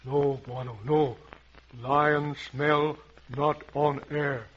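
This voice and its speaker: A husky, solemn safari guide in a vintage radio adventure. He speaks very slowly in clipped, broken English, wary and loyal, on a muffled old recording. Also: on the high side, flat delivery. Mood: alarmed, solemn. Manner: halting, clipped pidgin phrases, solemn.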